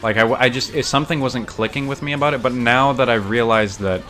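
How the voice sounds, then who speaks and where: A man's voice: medium pitch, steady tone, fast talker, sharp tone, faint music behind. A young man giving casual gameplay commentary, rambling thoughtfully and often correcting himself mid-sentence. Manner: rambling, self-correcting, bookish. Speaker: streamer.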